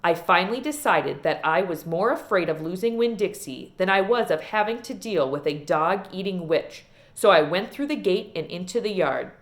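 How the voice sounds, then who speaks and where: A woman reading a children's novel aloud in an expressive storytelling style. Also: on the low side, moderate pace, few pauses. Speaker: read-aloud reader.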